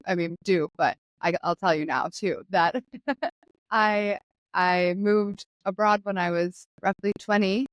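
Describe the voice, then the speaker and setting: A woman's voice: mid-range voice, takes it slowly, no room sound, low-quality recording. Chatty young woman podcast host. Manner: relaxed and chatty, laughs often. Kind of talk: casual conversational chat.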